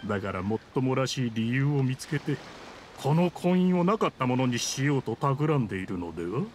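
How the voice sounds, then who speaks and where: A man's voice: medium pitch, very animated, brisk, lightly acted. A man playing a scheming, easily riled official in a Japanese anime game, formal and pompous with a defensive, indignant manner. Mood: defiant, smug. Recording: game dialogue over ambient scene noise. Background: game scene ambience.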